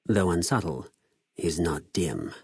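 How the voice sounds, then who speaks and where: An actor playing a cold, cunning spy of a Roman-styled slaver army in a video game, speaking in a measured, formal, menacing way with precise diction. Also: male voice, deep voice, very animated, vocal fry, a little mellow, breathy edge, no echo, clean studio sound, heavily acted. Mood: solemn, proud. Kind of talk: scripted video game character dialogue.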